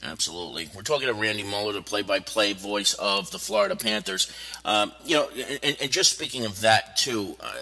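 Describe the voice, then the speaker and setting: A man's voice: fairly low pitch, brisk, sharp tone. A man hosting a sports radio interview in a conversational way, stammering a little over his questions, with long reverb on his voice. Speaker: sports talk show host.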